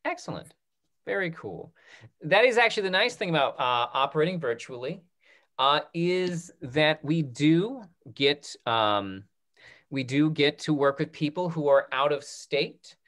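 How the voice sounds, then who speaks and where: A man around his thirties moderating a casual question-and-answer session on a video call, restarting phrases in a halting way. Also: fairly high pitch, animated, a bit bright. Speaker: moderator of a panel discussion. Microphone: video call computer microphone.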